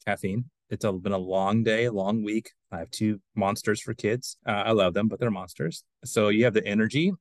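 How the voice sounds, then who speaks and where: Low-voiced man in his forties chatting casually. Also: normal speed, hardly any pauses, a little mellow, no echo. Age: 40s. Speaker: podcast co-host. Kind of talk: casual chat about pop culture.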